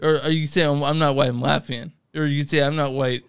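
A man's voice: fairly high pitch, steady tone, quick pace, clear, resonant tone. A man co-hosting a movie podcast, laid-back and joking as he plugs the show. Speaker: podcast co-host. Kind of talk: casual podcast banter.

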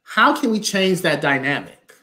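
An American host speaks on a video call about the arts. The voice sits at a middle pitch. Delivery is emphatic and preachy, with phrases repeated to drive the point home. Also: animated, normal speed, sharp tone. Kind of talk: live online arts talk. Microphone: video call mic.

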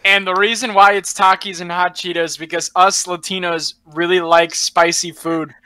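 A man's voice: high voice, little pitch movement, normal speed, pushed and bright, no room sound. A young man contestant joking on a voice call. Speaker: quiz contestant.

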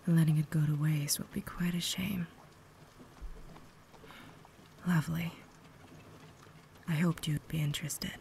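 Woman playing a whispering, low, creaky vampire lady. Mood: inviting, cultured. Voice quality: soft, breathy whisper. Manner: refined, formal, gentle phrasing. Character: cultured, caring vampire lady, secretly holding back. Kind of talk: ASMR roleplay, whispered and intimate. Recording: noticeable background ambience noise.

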